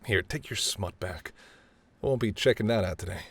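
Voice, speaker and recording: A man acts out a charming mafia boss in an intimate audio roleplay. His voice sits on the low side. He is smooth-talking and playful, teasing and flirting with the listener while staying politely apologetic. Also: normal speed, fairly bright tone, dry recording, exaggerated voice. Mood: flirty, playful. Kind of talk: intimate second-person roleplay audio acting.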